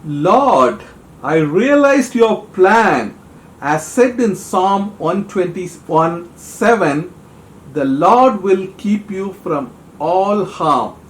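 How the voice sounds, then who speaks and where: A man acts out a devout soldier in a puppet show, trapped and praying. His voice sits a little high and moves very slowly, with halting dramatic delivery. The recording is noisy. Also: animated, roomy sound, full character voice. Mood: grateful. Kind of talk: puppet show story acting.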